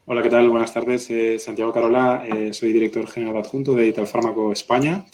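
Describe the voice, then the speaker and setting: A man, a pharmaceutical executive on a webinar panel, explaining in a deliberate way, often ending points with tag questions. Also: medium pitch, level tone, darkish tone, slight background noise.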